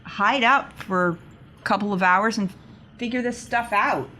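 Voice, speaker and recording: A woman's voice: medium pitch, unhurried, bright, forceful tone, a little hiss or hum. A woman talking casually as a player in a tabletop roleplaying game.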